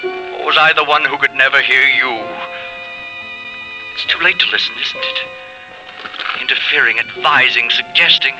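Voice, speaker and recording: A man's voice: mid-range voice, fairly even intonation, moderate pace, long pauses, natural voice, low-quality recording. Tormented, guilt-ridden male inner monologue, played by a man, intense old radio drama, music underneath. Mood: anguished, brooding. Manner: intense, brooding monologue with repeated phrases. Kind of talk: 1950s radio drama acting.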